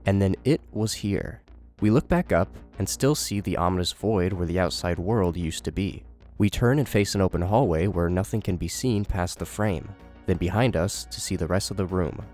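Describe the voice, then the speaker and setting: A man's voice: low-pitched, darkish tone. A man giving scripted narration and commentary as a horror series commentator.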